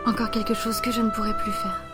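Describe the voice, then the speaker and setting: A woman's voice: medium pitch, flat delivery, fast, close to an everyday voice. Woman acting a sulky, despondent teenage girl, music behind. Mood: bitter, discouraged. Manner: sulky, defensive, discouraged. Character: moody, despondent teenage girl who lost her sight. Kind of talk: amateur audio drama acting.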